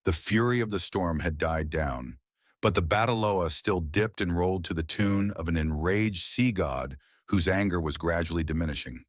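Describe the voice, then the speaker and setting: A man narrating a vintage pulp horror story in a measured, literary storytelling manner. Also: deep voice, no room sound, clean studio sound.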